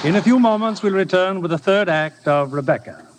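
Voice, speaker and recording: A man's voice: high voice, animated, fairly quick, over a noisy crowd, very noisy. A man hosting a 1950s radio theater broadcast, with a polished, formal, scripted delivery.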